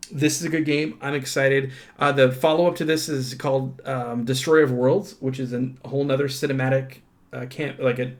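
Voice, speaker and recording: A man hosting a casual unboxing in an informal, enthusiastic and halting way, often letting his thoughts trail off. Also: mid-range voice. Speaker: host of a tabletop game unboxing channel. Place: indoors at a tabletop.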